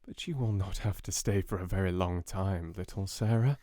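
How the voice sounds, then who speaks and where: A man voicing a gentle, doting young father in an audiobook, speaking softly and tenderly to reassure a child. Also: sits low, normal speed, dry recording, studio quality, natural voice. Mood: reassuring. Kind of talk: audiobook character voice.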